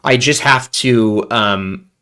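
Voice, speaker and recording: A man's voice: medium pitch, takes it slowly, pushed and bright. A man hosting a casual livestream while sick with a cold, rambling and joking at his own expense. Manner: rambling, joking, self-deprecating. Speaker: livestream host.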